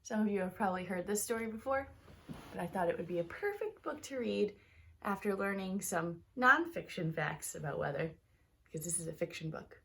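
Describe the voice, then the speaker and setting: A woman reading a children's storybook aloud like a teacher, with expressive, emphatic phrasing aimed at young listeners. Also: mid-range voice, a little fast, creaky. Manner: talks directly to young listeners.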